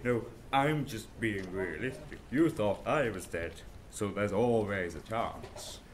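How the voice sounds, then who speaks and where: A man's voice: fairly low pitch, takes it slowly, a crowd in the background. A man playing an arrogant, coolly analytical male detective in an amateur audio drama, speaking in a superior, matter-of-fact way.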